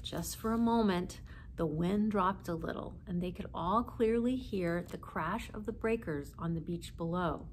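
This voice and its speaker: A woman's voice: on the low side, measured pace, slight background noise. A woman in her forties reading a children's story aloud expressively, seated indoors a short distance from the camera.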